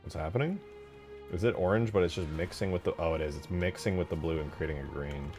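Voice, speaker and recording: A man's voice: low voice, fast, music in the background. A man giving casual, rambling commentary as a game modder, thinking aloud in slangy, informal speech. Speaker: game modder showing work in progress.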